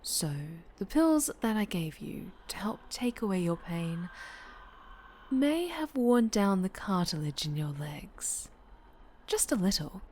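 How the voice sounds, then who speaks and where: A woman playing a possessive, obsessive winged dark-angel superhero, sinister yet doting, in an intimate, breathy ASMR roleplay that often slips toward whispering. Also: mid-range voice, big pitch swings, slow, a little hiss or hum. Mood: sinister, coaxing.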